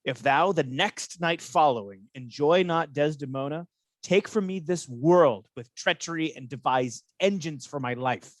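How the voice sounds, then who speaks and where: A man playing a smooth-talking, scheming soldier in a Shakespearean radio play, persuasive and placating. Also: a little high, a little slow, no room sound, close to an everyday voice.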